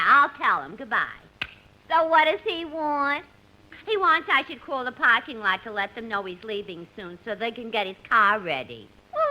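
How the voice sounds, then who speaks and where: A sharp, very bright female voice on a faintly dated, hissy radio recording, a New telephone operator. She trades slangy wisecracks and gossip, high and lively in pitch. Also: heavily acted. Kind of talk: 1950s radio comedy acting. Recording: noisy 1950s radio recording.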